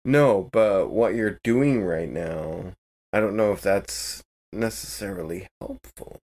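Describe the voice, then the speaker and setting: A man's voice: lowish voice, slow pace, a little mellow, dry recording. A man chatting casually as a player in a tabletop role-playing game.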